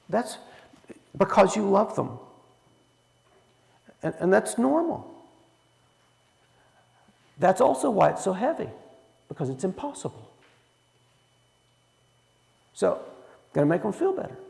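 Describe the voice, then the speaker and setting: A man's voice: high-pitched, creaky, a little mellow, in a large hall. A man leading a church Bible study in a folksy way, asking the room questions as he teaches. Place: church hall.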